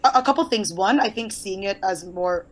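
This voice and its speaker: Young woman host in her twenties; fast, loose, filler-heavy chat with casual swearing. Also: medium pitch, expressive. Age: 20s. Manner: rapid and rambling, many fillers and casual swearing. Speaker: podcast host. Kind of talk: casual conversation.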